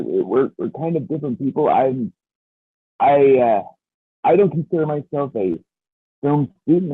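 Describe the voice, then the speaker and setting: A man in his thirties co-hosting a podcast, speaking haltingly with many restarts. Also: mid-range voice, breathy edge, no echo. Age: 30s. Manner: hesitant, full of restarts and fillers. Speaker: podcast co-host. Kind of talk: casual chat. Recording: tinny phone-like line.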